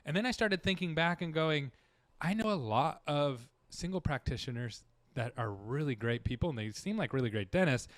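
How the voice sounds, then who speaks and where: A man's voice: on the high side, expressive, normal speed, dry recording. A man, a dental marketing guest in a casual interview, talking earnestly with many restarts. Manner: earnest, rambling, full of restarts.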